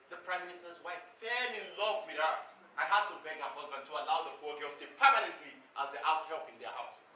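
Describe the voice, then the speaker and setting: A man's voice: on the high side, sharp tone, a little hiss or hum. A man narrates a stage play in an emphatic, declamatory storytelling style, recorded on an echoey stage. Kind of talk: dramatic theatrical storytelling. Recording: echoey stage, distant mic, background music.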